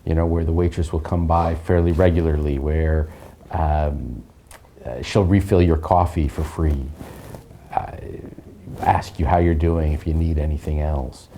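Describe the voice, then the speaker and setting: A man who teaches, making wry, unhurried complaints with frequent "uh" and "you know". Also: a bass voice, fairly even intonation, warm tone, slight background noise.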